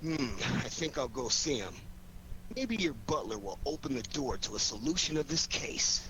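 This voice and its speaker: Glib, lively radio detective, noisy recording. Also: male voice, mid-range voice, normal speed. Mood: probing. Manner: glib, wisecracking, slangy. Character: wisecracking cocky amateur detective, a man. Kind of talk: 1940s radio detective drama acting.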